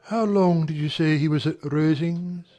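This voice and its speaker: Man acting a smooth, insinuating young officer, higher voice. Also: a little slow, warm tone, faint music behind, natural voice. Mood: feigned casual, probing. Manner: formal, smooth, probing period speech. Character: charming, insinuating young male army officer, falsely gentle. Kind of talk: dramatized audiobook acting of a period novel.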